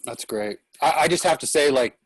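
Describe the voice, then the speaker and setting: A man's voice: medium pitch, animated, a little fast, dry recording. A man moderating a casual discussion in an encouraging, conversational way. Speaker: actor moderating a Q&A.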